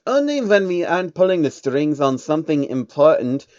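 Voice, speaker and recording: A man's voice: fairly high pitch, very animated, normal speed, a little mellow, no echo, highs cut off. Man doing a sly, amused secret-society baron.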